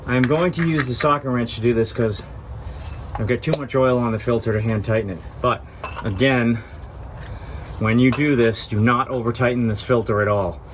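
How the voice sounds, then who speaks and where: A man's voice: mid-range voice, steady tone, moderate pace. A man with a stuffy, sniffly voice from a cold, casually talking through a hands-on car repair tutorial in plain words, step by step. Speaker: DIY car repair host. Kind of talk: hands-on tutorial, casual talk while working. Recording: clear background noise, vehicle work ambience.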